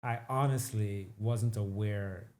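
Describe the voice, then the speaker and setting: This is a man with a fairly low voice. He acts as an interviewer in a casual podcast chat. He puts simple questions and reacts in an informal, conversational way. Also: fairly even intonation, slow, a little mellow.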